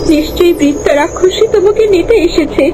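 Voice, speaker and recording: A woman's voice: very high-pitched, a little fast, background noise. A woman voicing a devoted, defiant young heroine in a fairy-tale cartoon, earnest and protective. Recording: music behind the voice.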